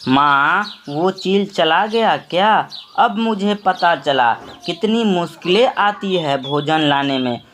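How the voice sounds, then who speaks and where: A man's voice: high-pitched, animated, slow, few pauses, a bit bright, slight background noise, pitched above the performer's own voice, full character voice. A man voicing a naive, nervous young boy bird in a cartoon story, earnest and childlike. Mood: scared, awed. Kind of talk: acted cartoon moral story.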